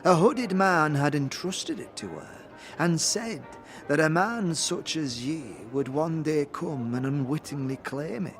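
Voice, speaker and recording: Slow, mysterious fortune-telling crone played by a man. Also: on the high side, soft background music. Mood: mysterious, earnest. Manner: mysterious, portentous, archaic phrasing. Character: cryptic, hunched female fortune teller in rich fabrics. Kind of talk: audio drama character acting.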